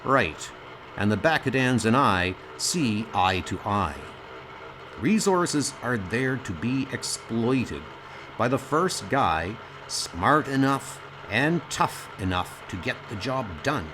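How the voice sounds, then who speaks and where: A man's voice: medium pitch, animated, takes it slowly, noisy background. A man voicing a brash, boastful, dismissive frontier colony boss in an audio comic dub.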